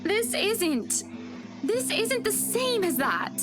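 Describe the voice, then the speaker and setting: A woman's voice: very high-pitched, sing-song, slow, heavily acted. A woman playing a wealthy, flirtatious lady with coy, formal phrasing in a visual novel game. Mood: taken aback. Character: flirtatious, wealthy, polished woman, wounded when refused. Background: game music.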